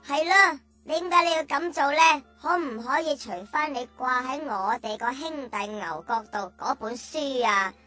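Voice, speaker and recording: Piping, bright cartoon calf. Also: female voice, high voice, normal speed, few pauses, soft background music. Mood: puzzled, naive. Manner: childish, indignant. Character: talking young cartoon calf, cheeky, childish, easily offended. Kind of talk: children's radio drama acting.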